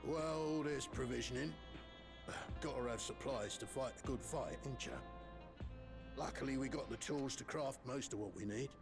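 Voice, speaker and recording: Gravelly, folksy male craftsman played by an actor. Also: mid-range voice, normal speed, over music, natural voice. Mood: laid-back. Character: folksy, laid-back male craftsman in the resistance. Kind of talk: video game voice acting.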